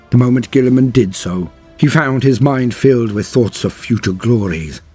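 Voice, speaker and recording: A man with a low, husky voice delivers scripted lore as a dramatic narrator. His storytelling is solemn and grand, shaped like an epic chronicle. Faint music plays beneath his words. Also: darkish tone. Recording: music under the voice. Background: background music.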